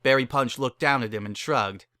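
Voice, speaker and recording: A man's voice: mid-range voice, normal speed, bright, forceful tone, dry recording, clean studio sound. A man narrating a piece of fan fiction as a dramatic reading. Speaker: story narrator.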